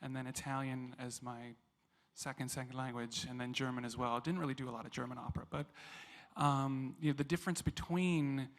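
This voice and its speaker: A mid-pitched male voice answering an interview at a calm, measured pace. He speaks reflectively, taking his time as he lays out his ideas. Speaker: singer with opera training.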